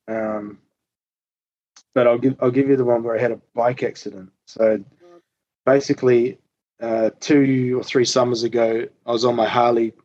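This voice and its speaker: A man, a musician guest telling tour stories in casual interview chat, relaxed and full of ums and the odd swear. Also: mid-range voice, no room sound. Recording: slightly dull dry recording.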